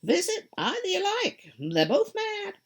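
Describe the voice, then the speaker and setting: A woman voicing a mischievous grinning male cat who teases in a high, drawn-out, sing-song way, with a breathy tone. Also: huge pitch swings, full character voice. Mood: teasing.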